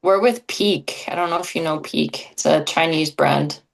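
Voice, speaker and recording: A young woman from Brazil, a guest on a podcast, talking in a relaxed, casual way and laughing often. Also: sits low, normal speed, vocal fry, low-quality recording. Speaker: guest athlete. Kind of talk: podcast interview.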